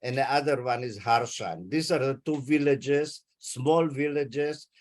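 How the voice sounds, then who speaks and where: Slow-speaking man in his eighties. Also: mid-range voice. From Hungary. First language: Hungarian. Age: 80s. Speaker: interviewee, Holocaust survivor. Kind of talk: recounting family history in an interview. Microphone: video call.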